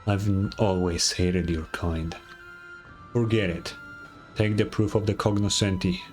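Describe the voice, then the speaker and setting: A proud villain from an anime game. The voice is low, with formal, measured phrasing and bitter menace. Game music runs faintly behind. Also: male voice, fairly even intonation, darkish tone. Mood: bitter, menacing. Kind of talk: anime video game voice acting.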